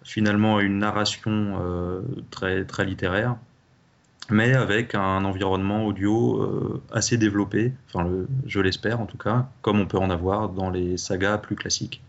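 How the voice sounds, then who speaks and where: A man's voice: on the low side, flat delivery, moderate pace, creaky, lo-fi sound. A man, an audio drama creator answering casually as an interview guest.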